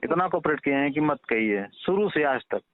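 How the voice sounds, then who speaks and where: Bihari male, fairly high voice, persuasive and respectful in casual talk, dry telephone-quality recording. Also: animated, a little fast, no room sound. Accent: Bihari Hindi. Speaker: father appealing to a reporter. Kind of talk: casual phone conversation. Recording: tinny, narrow-band phone call recording.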